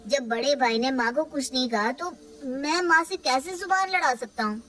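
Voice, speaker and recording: A woman's voice: high voice, normal speed, fairly bright tone, slight background noise, pitched above the performer's own voice. Woman voicing Vikram, a torn young husband. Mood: reasoning.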